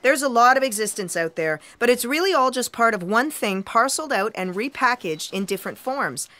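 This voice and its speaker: A woman's voice: a little high, animated, fairly quick, a bit bright, no echo. A woman playing an authoritative, knowing editor-in-chief who narrates a comic sci-fi audio drama in a matter-of-fact way.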